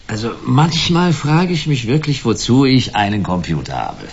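An actor playing a wry, world-weary hard-boiled private detective in a German radio play, laconic and dry. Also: male voice, medium pitch, very animated, moderate pace, gravelly, breathy edge, dull audio, exaggerated voice. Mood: exasperated. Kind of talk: German radio play noir acting and first-person narration.

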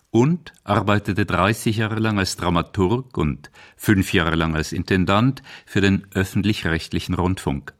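Man, deep voice, scripted documentary narration, measured, articulate. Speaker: feature narrator.

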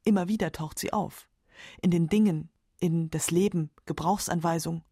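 A woman's voice: on the low side, fairly even intonation, moderate pace, dark tone, slightly rough, no room sound, clean studio sound. A woman narrating a scripted radio feature as its narrator.

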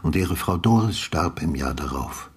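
An actor playing a calm, courteous older retired police detective who questions methodically in a measured way, with a husky, breathy bass, in a radio drama. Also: male voice, full character voice. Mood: calm probing.

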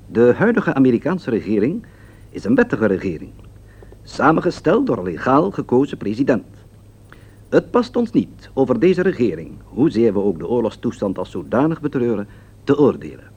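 Solemn radio correspondent, swooping intonation. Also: male voice, mid-range voice, sing-song, normal speed, darkish tone, husky. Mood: formal, measured. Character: solemn male foreign radio news correspondent. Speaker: news correspondent. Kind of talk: scripted news report in a radio play. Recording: dull lo-fi radio sound.

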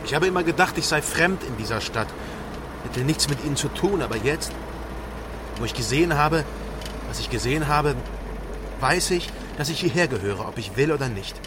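A man's voice: a little high, lively intonation, normal speed, pauses often, noisy background, lightly acted. An actor playing an earnest, conflicted, insistently questioning man trapped by an epidemic in a German radio play. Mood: resolute, passionate.

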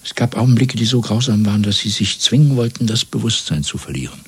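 Radio drama villain: coldly methodical party interrogator, very low register, heavily husky. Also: male voice, expressive, full character voice. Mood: methodical, menacing. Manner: measured, formal, probing with rhetorical questions. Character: calm, cold male party interrogator, methodical and menacing. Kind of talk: German radio play acting. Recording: restored 1970s radio drama recording.

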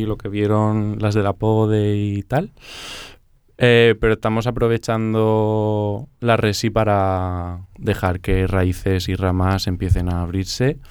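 A man's voice: on the low side, darkish tone, clean tone, no room sound, clean studio sound. A young man from Murcia, a choreographer chatting casually as a guest and laughing often. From Murcia, Spain. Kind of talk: casual interview talk.

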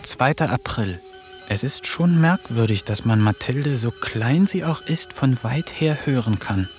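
A man narrating diary entries in a radio play, calm, measured and wry, sometimes dropping to a hushed whisper. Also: mid-range voice. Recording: studio radio play with faint music.

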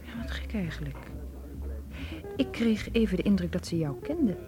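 A woman playing a candid young woman in a radio drama, conversational and gently wry. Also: mid-range voice, lively intonation, normal speed, music in the background, lightly acted. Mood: teasing, intimate. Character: candid, teasing young woman, evasive when questioned. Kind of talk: naturalistic Dutch radio play acting.

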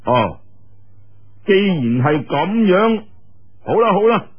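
A man's voice: sits high, big pitch swings, slow, husky, pitched above the performer's own voice, full character voice. A man voicing a commanding, scheming martial arts sect leader in Cantonese, with a show of courtesy. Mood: feigned agreement. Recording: muffled narrow-band radio-like sound.

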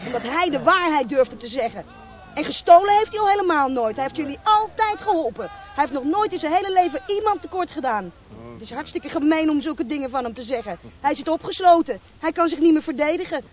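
Earnest, high-voiced young girl. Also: lively intonation, brisk. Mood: proud, indignant. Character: earnest, proud thirteen-year-old girl, slandered prisoner's daughter. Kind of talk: radio drama acting.

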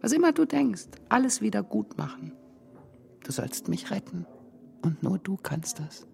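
Creaky, volatile, vengeful rich woman. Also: mid-range voice, sing-song, mellow, full character voice. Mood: desperate, pleading. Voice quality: creaky voice, often breaking into whispers. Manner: intense, halting, volatile. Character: wealthy, aging woman, obsessive, wounded and vengeful lover. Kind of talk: radio drama acting.

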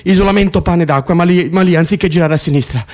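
An actor playing an excitable, wry political prisoner in an Italian radio drama, stammering, repeating himself and swearing. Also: male voice, sits high, fast talker, natural voice. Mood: agitated. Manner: breathless repetitions, stammering, swearing. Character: excitable male political prisoner, agitated, wry, foul-mouthed. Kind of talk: Italian radio drama acting, conversational and intense.

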